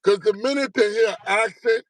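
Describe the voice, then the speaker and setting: A man's voice: high-pitched, normal speed, dry recording. A middle-aged man from Haiti, a guest telling stories in a casual interview, emphatic, profane and streetwise, always asking if you get what he's saying. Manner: repeats tag questions like "you know what I'm saying".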